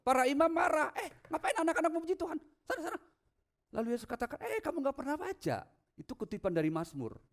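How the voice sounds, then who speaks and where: Male pastor, high voice, emphatic sermon delivery that moves between quiet asides and loud proclamation. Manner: rhetorical questions to the congregation. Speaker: pastor preaching. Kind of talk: sermon with scripture readings.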